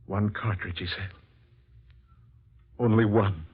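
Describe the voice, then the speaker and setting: An actor playing a guilt-crazed, doomed man in melodramatic, halting 1940s radio horror style. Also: fairly low pitch, steady tone, slightly rough, exaggerated voice. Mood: dazed despair. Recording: muffled old radio recording with music.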